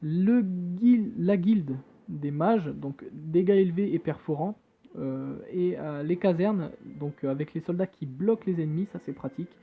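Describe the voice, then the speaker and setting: A man's voice: on the high side, unhurried, gravelly, slightly rough. A man giving casual, hesitant commentary as he plays a phone game and reads its text aloud, with many fillers and jokey asides. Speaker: gamer playing on a phone. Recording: tinny phone-like screen recording with faint game music. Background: faint game music.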